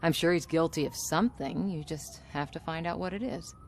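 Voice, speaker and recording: A sly boss lady in a game, low voice, assertive and manipulative. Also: a little fast, vocal fry, darkish tone, a little hiss or hum. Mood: sly, conspiring. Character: scheming, manipulative woman running a commercial shoot. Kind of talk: video game cutscene acting.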